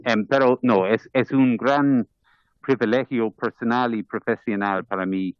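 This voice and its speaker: Irish man, smooth voice, formal address. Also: medium pitch, fairly even intonation, dry recording. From Ireland. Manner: measured, reads slowly in careful second-language Spanish. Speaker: ambassador. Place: parliamentary committee room. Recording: dull, muffled committee audio.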